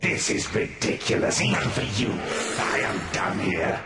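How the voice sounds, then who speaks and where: An actor playing a scornful, impatient dark god in a video game, curt and dismissive. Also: male voice, low-pitched, big pitch swings, unhurried, very noisy. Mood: disgusted. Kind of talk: video game cutscene villain acting.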